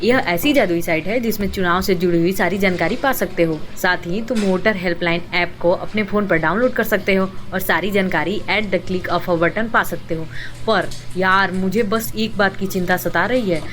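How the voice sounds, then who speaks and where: A woman's voice: mid-range voice, moderate pace, fairly bright tone, soft background music, natural voice. A woman playing a sensible, well-informed young working woman with worries in a scripted radio drama, explaining things earnestly and bossing her friends. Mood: informative. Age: young adult.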